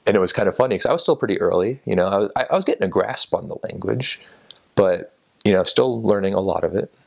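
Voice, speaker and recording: Young man with a low, gravelly voice. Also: expressive, fast talker, darkish tone. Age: 20s. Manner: thoughtful, with many fillers and restarts. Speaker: interviewee. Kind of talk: relaxed recollection to an off-camera interviewer. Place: indoor room by a window, seated in an armchair.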